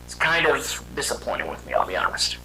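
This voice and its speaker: A man voicing a weary young robot hedgehog, telling his story in a halting, conversational and dramatic way for a fan audio drama. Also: medium pitch, wildly swooping pitch, creaky, over a noisy crowd, rough recording. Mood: hesitant, candid.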